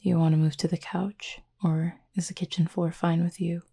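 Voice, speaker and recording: A woman playing a gentle, composed horned cupid roommate in an intimate roleplay audio, soft-spoken, measured and formally worded, tenderly teasing and reassuring. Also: fairly low pitch, level tone, full character voice. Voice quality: soft, breathy, husky.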